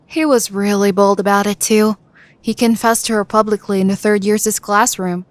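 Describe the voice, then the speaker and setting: A woman's voice: mid-range voice, steady tone, normal speed, no echo, lightly acted. A woman voicing a gossipy teenage schoolgirl in an anime fan radio play, chatty and matter-of-fact.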